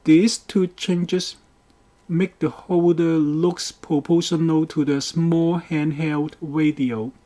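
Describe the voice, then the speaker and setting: A man delivers a scripted how-to narration with a slow, careful pace. His voice sits a little high for a man and has a soft, mellow tone. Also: steady tone. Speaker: DIY boat project presenter.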